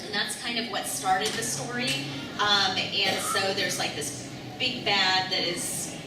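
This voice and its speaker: Loud-voiced woman in a noisy hall. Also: fairly even intonation, slow. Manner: loud, casual, with many ums and likes. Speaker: author on a convention panel. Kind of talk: casual panel talk. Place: convention hall panel room. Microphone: panel mic heard from far off. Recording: booming echoey hall, distant, noisy.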